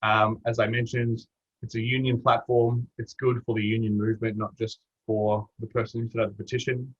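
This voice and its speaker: A man's voice: medium pitch, flat delivery, a little fast, slightly rough. An Australian man presenting an online webinar in a casual, matey way. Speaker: webinar presenter from a campaign platform team. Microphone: video call. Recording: dull lo-fi video call audio.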